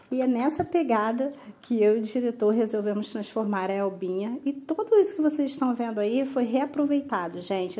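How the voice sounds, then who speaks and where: A woman's voice: medium pitch, mellow, a little hiss or hum. A woman in her thirties with a Brazilian accent, talking casually and earnestly as a vlog host, encouraging her viewers. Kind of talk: casual vlog talk and narration.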